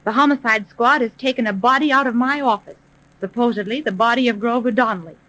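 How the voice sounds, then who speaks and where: An actress playing a shrewd, cautious woman private detective in a 1930s radio serial, commanding and deliberate. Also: fairly high pitch, sharp tone, no echo. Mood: shrewd, wary. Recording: noisy 1930s radio recording.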